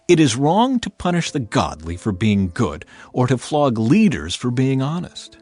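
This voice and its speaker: A man delivers a dramatized scripture reading with lively, varied intonation. His delivery is measured and declarative, set over faint background music, with a mid-pitched voice. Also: husky. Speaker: audio Bible narrator.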